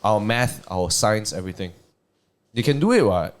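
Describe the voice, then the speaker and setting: A young man with a Malaysian English accent, co-hosting a casual podcast and arguing his point with animated energy. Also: lowish voice, normal speed. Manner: animated, argumentative, says "bro" and "lah". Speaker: podcast co-host.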